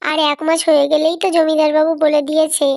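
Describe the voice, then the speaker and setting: Woman doing a high, fretful cartoon village wife, caring, dry close sound. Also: monotone, quick pace, darkish tone, dry recording. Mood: worried.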